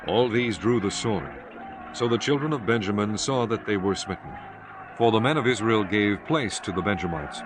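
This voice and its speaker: A man narrating scripture for an audiobook in a formal, measured storytelling manner. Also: lowish voice, noisy background.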